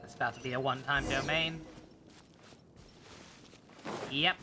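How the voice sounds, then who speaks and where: A woman's voice: extremely low pitch, steady tone, quick pace. A woman doing casual live game commentary as a streamer, chatty, sarcastic and sweary. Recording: game music behind voice. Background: video game music.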